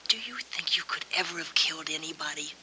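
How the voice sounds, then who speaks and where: An American actress playing an earnest, loyal young lounge singer in a 1940s film noir, coaxing and persistent. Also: female voice, sits low, fast, bright, forceful tone. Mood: gently urging. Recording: faintly noisy 1940s film soundtrack.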